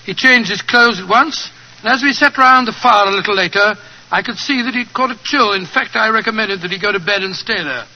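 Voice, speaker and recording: An actor playing an eager, kindly, bumbling English doctor in 1940s radio drama style, fussy and hesitant. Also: male voice, high voice, brisk, bright, forceful tone, exaggerated voice. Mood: polite, earnest. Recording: dull old 1940s radio recording.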